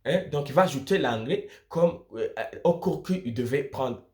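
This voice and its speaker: A man's voice: medium pitch, lively intonation, normal speed, darkish tone. A young man from Burundi, explaining things informally to viewers in an emphatic way, repeating and rephrasing his points. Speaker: student vlogger giving study-abroad advice.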